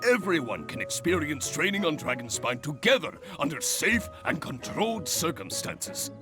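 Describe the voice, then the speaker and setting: Jovial booming middle-aged male guild leader, animated delivery, scored. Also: normal speed. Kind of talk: video game cutscene dialogue. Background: game music.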